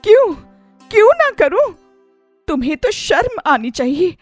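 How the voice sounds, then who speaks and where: A woman playing a fed-up, nagging village wife and mother in a children's cartoon story, indignant and sharp-tongued. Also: sits high, no echo.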